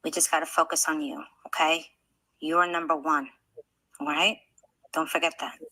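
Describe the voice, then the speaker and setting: Woman in a reality tv clip, bright lowish voice, reassuring, swearing. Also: flat delivery, moderate pace, poor audio. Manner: earnest reassuring, swears for emphasis.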